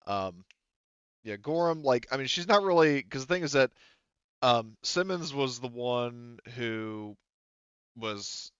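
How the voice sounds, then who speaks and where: A man playing a tabletop roleplaying game, casually recapping and narrating in a halting, think-aloud way. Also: mid-range voice, animated, a bit bright, clear, resonant tone, dry recording, dull audio.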